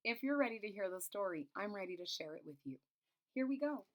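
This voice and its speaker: A woman reads a picture book aloud for young children. Her voice sits at a medium pitch. She is expressive and pauses the story to explain things simply, like a teacher.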